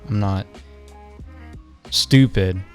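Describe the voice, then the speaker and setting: A man's voice: fairly low pitch, extremely slow, faint music behind. A young man co-hosting a podcast, rambling and joking with frequent restarts. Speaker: podcast co-host. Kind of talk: casual chat.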